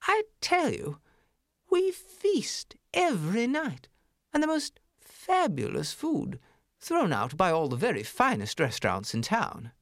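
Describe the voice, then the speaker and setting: Man voicing a snobbish city mouse, high squeaky tone, haughty, fussy, very animated pitch, dry close sound. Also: no echo, exaggerated voice. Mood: boastful. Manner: haughty, fussy, drawn-out disdain.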